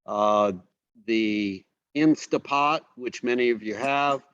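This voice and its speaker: A man in a casual online presentation, speaking at an easy middle pitch. He pauses and fills gaps as he walks through pictures. The sound is dry and a little dull. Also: clear, resonant tone, no echo. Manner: relaxed, halting with many fillers. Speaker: host presenting slides.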